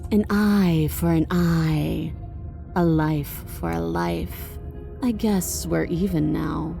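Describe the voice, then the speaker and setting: An actress voicing a chained princess in a horror game, calm and menacing with a slow, dry, taunting manner. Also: female voice, exaggerated voice. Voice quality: gravelly low voice. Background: game music.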